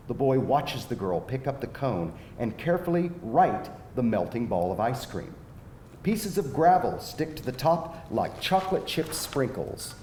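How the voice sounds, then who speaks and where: A man narrating a screenplay's stage directions as scripted narration at a live table read. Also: dark tone. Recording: echoey live room, faint rumbling noise.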